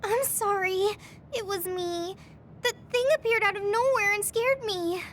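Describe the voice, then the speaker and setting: Very high-pitched earnest young woman. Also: measured pace, a bit bright. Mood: flustered, apologetic. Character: earnest, easily flustered young woman with flame powers. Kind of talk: anime game voice acting.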